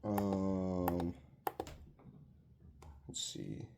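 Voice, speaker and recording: Low-voiced man, halting and muttering, unscripted casual demo, unhurried pace, noticeable background noise. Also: big pitch swings. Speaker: hobbyist demonstrating weather radios. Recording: clear background noise with clicks.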